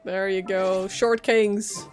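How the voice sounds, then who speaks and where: A woman streams a game with casual, playful commentary. She talks at an unhurried pace in a medium-pitched voice, with faint music behind her. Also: little pitch movement, a little mellow. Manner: informal, playful, reactive. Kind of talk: casual live gameplay commentary.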